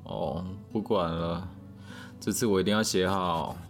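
Male actor, low pitch, flustered plaintive young man, Taiwanese Mandarin, podcast drama, faint music. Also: fairly even intonation, unhurried. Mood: frustrated, hopeful. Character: whiny, boyish, flustered young male dorm resident. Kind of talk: podcast radio drama acting.

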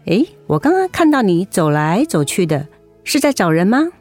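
Very expressive, sympathetic woman. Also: fairly low pitch, moderate pace, soft background music. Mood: friendly. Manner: expressive, caring. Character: sympathetic, attentive woman, an interviewer and fellow patient. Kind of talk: radio drama acting.

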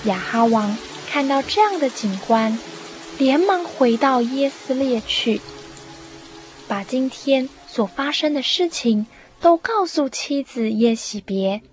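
A woman narrating a radio drama with measured, expressive scripted storytelling. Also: high-pitched, slight background noise. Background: music.

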